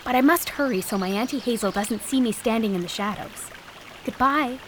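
A young woman playing a sweet, innocent princess raised in the woods who speaks gently and laughs easily. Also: a little high, fairly quick, slight background noise, lightly acted. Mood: gentle. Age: young adult. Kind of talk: audio drama acting.

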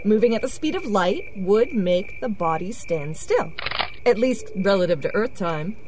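An actress playing a witty, self-assured quantum physicist in an audio drama, explaining things in a wry way. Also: female voice, mid-range voice, animated, unhurried, background noise, natural voice. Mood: wry, explanatory. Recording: outdoor night ambience with crickets, frogs. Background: crickets and frogs.

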